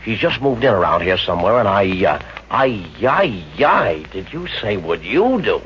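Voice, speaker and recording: A brash, flirty gumshoe. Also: male voice, fairly low pitch, big pitch swings, slight background noise. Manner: wisecracking, fast-talking pickup lines. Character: brash flirty male private detective. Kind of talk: 1950s radio comedy acting. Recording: dull old radio transcription.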